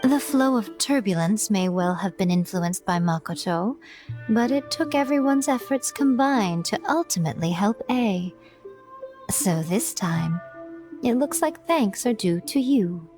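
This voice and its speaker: A woman's voice: mid-range voice, lively intonation, unhurried, a little mellow, lightly acted. A woman voicing a sly, teasing priestess. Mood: earnest. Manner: teasing, elegant, amused. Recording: game audio with faint music behind.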